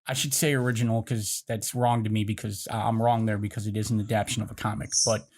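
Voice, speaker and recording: A man's voice: lowish voice, little pitch movement, fast, darkish tone. A man speaking casually as a podcast panelist, reflective and explanatory in manner. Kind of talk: casual discussion.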